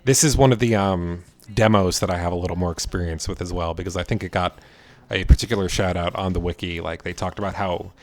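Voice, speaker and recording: Deep-voiced guy co-hosting a music podcast, easygoing and thoughtful. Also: steady tone, fast talker, darkish tone, a little hiss or hum. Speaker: podcast co-host. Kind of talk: casual music discussion.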